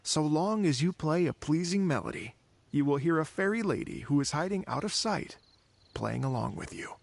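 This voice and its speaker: Middle-aged game wanderer, creaky but fairly high voice, wistful theatrical storyteller. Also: male voice, lively intonation, normal speed, no echo. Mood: storytelling, earnest. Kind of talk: video game voice acting.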